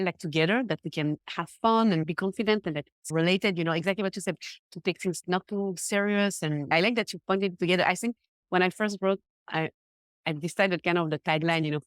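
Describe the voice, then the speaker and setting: Swiss woman, lively, laughing often. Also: mid-range voice, no echo. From Switzerland. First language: not English. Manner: animated, laughs often, many fillers. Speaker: guest confidence coach. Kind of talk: casual podcast interview.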